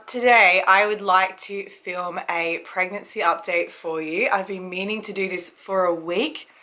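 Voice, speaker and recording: A woman in her thirties with an Australian accent, a little croaky from a cold, chatting casually to camera about her pregnancy with many ums and likes. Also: medium pitch, bright, forceful tone. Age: 30s. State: recovering from a cold, slight cough. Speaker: vlogger. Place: family home.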